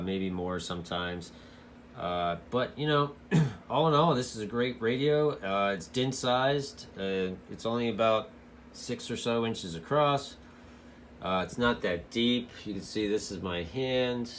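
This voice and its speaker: Male hobbyist reviewer, lowish and bright-toned, informal and rambling, recorded with some light background noise. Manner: informal, rambling, loosely explanatory. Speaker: CB radio reviewer. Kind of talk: casual product walkthrough.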